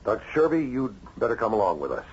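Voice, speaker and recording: An actor playing a firm, courteous police chief in an old-time radio drama, official and probing. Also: male voice, mid-range voice, big pitch swings, quick pace, natural voice. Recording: dull, hissy old radio recording.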